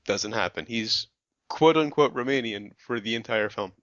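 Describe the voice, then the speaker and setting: A man's voice: on the low side, fairly bright tone, dry recording, muffled recording. A man co-hosting a podcast, adding short corrections and his own notes in casual film talk. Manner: short interjections and quick corrections. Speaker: podcast co-host. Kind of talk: casual film review chat.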